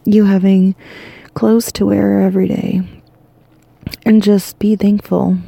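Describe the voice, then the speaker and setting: Exhausted woman murmuring, gravelly and dark. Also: mid-range voice, no room sound. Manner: soft, drowsy, half-whispered, rambling. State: exhausted, losing her voice. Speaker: podcast host. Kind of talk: sleepy bedtime monologue. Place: lying in bed at home.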